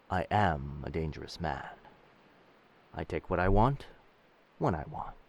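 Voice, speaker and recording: Deep-voiced, gently commanding pirate captain, played by a man. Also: exaggerated voice. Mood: menacing pride. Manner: old-fashioned seafaring speech, addresses listener as lass. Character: dangerous but gentle male pirate captain, protective and commanding. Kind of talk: roleplay audio drama, intimate boyfriend ASMR.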